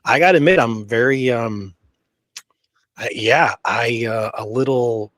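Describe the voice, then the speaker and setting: A man with a medium-pitched voice chats casually as a podcast co-host. His delivery is conversational and enthusiastic, wandering from one thought to the next. The recording is dry, with very little room sound. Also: measured pace, a bit bright, dry recording. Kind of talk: casual chat.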